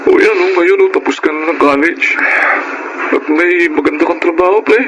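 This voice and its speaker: A man's voice: on the high side, normal speed, natural voice. A Filipino man playing a beaten-up, regretful young gigolo in a radio drama, on a noisy recording. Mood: pained, regretful.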